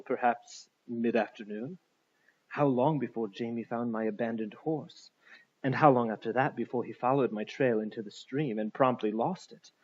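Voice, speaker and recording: Male audiobook narrator, husky mid-pitched voice, literary prose read, slightly lo-fi sound. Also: darkish tone.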